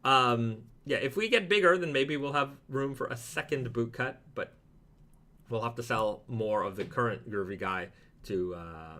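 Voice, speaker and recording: A man hosts a casual live stream with a bright, crisp-edged voice of average pitch. He talks through products in an easygoing, rambling way, like loose conversation. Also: moderate pace.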